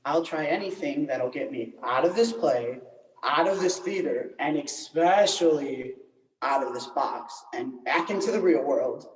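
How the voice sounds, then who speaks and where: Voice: medium pitch, expressive, a little slow, natural voice. Earnest, stubborn trapped mime, played by a teen for stage comedy; roomy, distant sound. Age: teenager. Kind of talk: school one-act comedy stage acting. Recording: echoey, distant school play recording.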